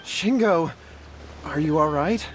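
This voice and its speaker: A man's voice: on the high side, lively intonation, slow pace, soft background music, low-quality recording. An actor playing a cowardly, scheming young brother in a video game, flustered and pleading as he explains himself. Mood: remorseful.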